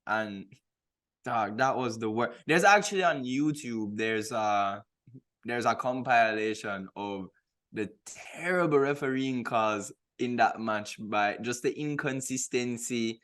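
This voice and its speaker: A man with an average-pitched voice chats as a podcast co-host. He is informal and slangy, leaning emphatically on his points in casual sports banter. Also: measured pace, a bit bright.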